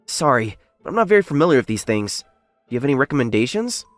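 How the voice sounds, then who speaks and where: Man playing an earnest young worker, animated delivery. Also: mid-range voice, fast talker, no room sound, faint music behind, natural voice. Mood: polite, earnest. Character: modest, plain-spoken young male factory worker, story's narrator. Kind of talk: English manga dub acting and first-person narration.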